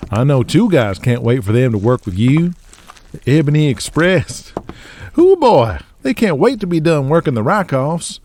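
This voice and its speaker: A man's voice: a little high, big pitch swings, moderate pace, darkish tone, slight background noise, pitched above the performer's own voice. A man voicing a folksy, wry wrestler in an audio drama, teasing his friend. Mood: amused. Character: Denny, folksy, wry Southern wrestling pal.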